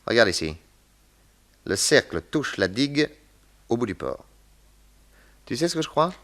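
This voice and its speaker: A man playing a shrewd, commanding master detective who explains deliberately in a 1960s radio serial. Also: mid-range voice, sing-song, a bit bright. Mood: confident, reasoning.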